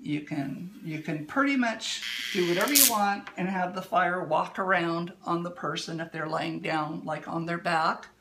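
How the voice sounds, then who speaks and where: A woman speaks in an unhurried, explanatory way, as if teaching at a table indoors. Her voice is bright and forward, a touch hoarse. Faint background noise is picked up by a phone camera a short distance away. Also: fairly low pitch. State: slightly hoarse. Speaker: livestream demonstrator. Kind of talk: instructional demo talk.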